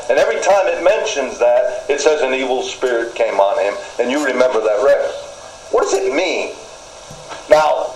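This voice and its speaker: An older man preaching a sermon, deliberate, with folksy asides to his listeners. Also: medium pitch, darkish tone. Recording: roomy echo, faint background noise.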